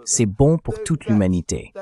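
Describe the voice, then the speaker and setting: A man's voice: lowish voice, huge pitch swings, normal speed, mellow. A man's AI-generated voice reading a dubbed commentary in an emphatic, argumentative way.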